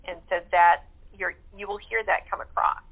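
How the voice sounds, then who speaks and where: A woman's voice: fairly low pitch, fairly even intonation, moderate pace, vocal fry. A woman speaking effusively and emphatically as a guest in a casual interview. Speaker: guest, radio-play cast member. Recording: tinny phone-like call audio.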